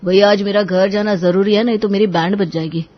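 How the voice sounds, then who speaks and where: A woman's voice: mid-range voice, steady tone, fairly quick, lo-fi sound, close to an everyday voice. A woman voicing a mild, henpecked young husband who talks in a friendly, placating way in an audio story. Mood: resigned.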